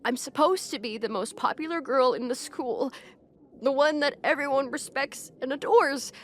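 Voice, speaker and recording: A haughty, popular high school girl played by a young woman with a fairly high voice. She is proud and bossy, with flustered hesitations slipping through. It is an intimate roleplay audio drama spoken to the listener, with noise behind the voice. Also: moderate pace, lightly acted. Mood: upset, self-pitying.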